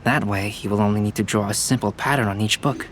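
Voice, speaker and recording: A man playing a calm young artist in a video game, speaking thoughtfully and precisely. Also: fairly low pitch, fast talker, slight background noise, natural voice. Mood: calm, analytical. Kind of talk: scripted game dialogue.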